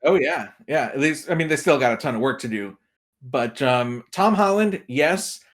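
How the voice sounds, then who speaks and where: A man's voice: mid-range voice, expressive, moderate pace. A man talking casually as a podcast guest, giving film opinions and acting out imagined reactions as he tells it. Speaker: podcast guest, movie critic.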